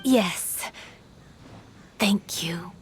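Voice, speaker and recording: Slow-speaking, wistful young yokai girl. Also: mid-range voice, noisy background. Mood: shy, grateful. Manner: soft, earnest, hesitant. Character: gentle, wistful young female yokai in human form. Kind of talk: video game character acting. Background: game music.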